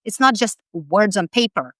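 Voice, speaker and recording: A woman's voice: medium pitch, expressive, moderate pace, fairly bright tone, no echo, clean studio sound. Lithuanian-accented woman, direct and emphatic. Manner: direct, emphatic, laughs between points. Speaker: personal branding expert guest. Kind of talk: interview advice.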